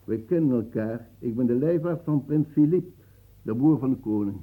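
A man's voice: mid-range voice, expressive, moderate pace, natural voice. A man playing a jovial, mocking court physician in a radio play. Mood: breezy. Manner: mocking, breezy. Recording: phone-like narrow band.

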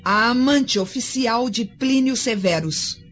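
An actress playing a haughty, threatening young Roman mistress in a radio drama. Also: female voice, on the high side, slow, fairly bright tone. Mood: imperious, scornful. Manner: imperious, scornful. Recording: dull lo-fi audio with music behind.

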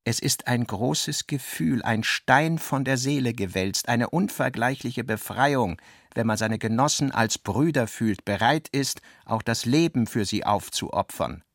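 A man playing a literary narrator in a radio drama, measured and articulate, acting out the dialogue he quotes. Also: medium pitch, gravelly, no echo, studio quality. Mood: elevated. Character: literary male narrator recounting and quoting his guest's talk.

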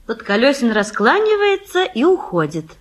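A woman narrates an audio play, reading the stage directions. Her voice is high and very lively in pitch. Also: moderate pace.

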